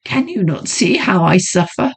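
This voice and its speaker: A woman's voice: fairly low pitch, very animated, normal speed, husky, exaggerated voice. A woman voicing a haunted young noblewoman who pleads breathlessly and trembles with fear. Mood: desperate pleading. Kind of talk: audiobook character voice.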